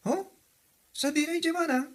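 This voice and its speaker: A woman's voice: high-pitched, fairly even intonation, moderate pace, close to an everyday voice. A woman playing a dutiful young adult daughter in an audio comedy drama, speaking with an Ilocano Filipino accent. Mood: eager, defensive.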